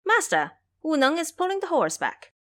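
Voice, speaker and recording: A woman's voice: high voice, wildly swooping pitch, normal speed, fairly bright tone, no room sound, studio quality, voice pushed up, heavily acted. A woman voicing a mischievous male monkey disciple in an audio drama, with a teasing way of talking. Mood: gleeful.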